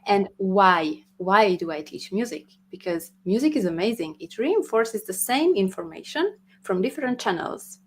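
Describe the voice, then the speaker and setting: A woman's voice: medium pitch, lively intonation, a little hiss or hum. Woman music teacher. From Hungary. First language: Hungarian. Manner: thoughtful, laughs often, slightly halting. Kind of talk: casual interview.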